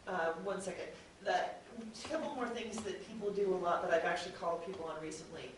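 A woman's voice: on the low side, brisk. Chatty woman panelist in a very echoey room. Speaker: audio drama producer on a panel. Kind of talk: informal panel talk. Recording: echoey room, distant mic, faint noise.

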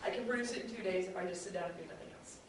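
A woman's voice: fairly low pitch, rapid-fire, a crowd in the background. Chatty woman panelist in a very echoey room. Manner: chatty, animatedly mimics others in quoted speech. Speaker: podcast producer speaking as panelist. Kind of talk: unscripted panel talk to an audience. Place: convention panel room with an audience. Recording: very echoey room, distant mic, faint noise.

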